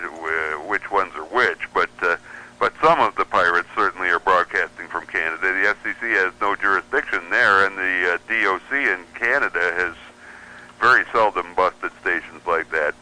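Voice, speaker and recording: A man's voice: sits low, moderate pace, bright, forceful tone, poor audio. A man chatting and explaining as a guest in a casual radio interview, with a wry manner.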